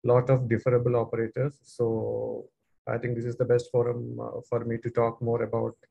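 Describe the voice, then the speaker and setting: A man from India presents in a webinar over a dull, lo-fi call line. His voice is raspy and deeply gravelly, and his pitch barely moves. He hesitates often with uhs. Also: completely flat delivery, moderate pace. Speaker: engineering manager presenting. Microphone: video call microphone.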